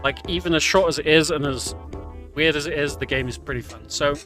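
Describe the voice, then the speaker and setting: Bright-voiced man chatting over a game. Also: fairly high pitch, brisk, soft background music. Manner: chatty, explanatory. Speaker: speedrunner commentating his own run. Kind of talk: casual live stream game commentary.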